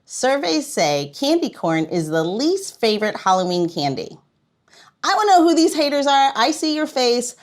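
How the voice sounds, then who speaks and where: A woman hosting a podcast in a casual, chatty and playful way, with an expressive delivery. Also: high-pitched, normal speed. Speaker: podcast host.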